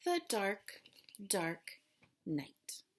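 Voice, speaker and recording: A woman's voice: mid-range voice, sing-song, very slow, a little hiss or hum. A woman teacher reading a children's story aloud, expressively and dramatically, with explanatory asides. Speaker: elementary school teacher.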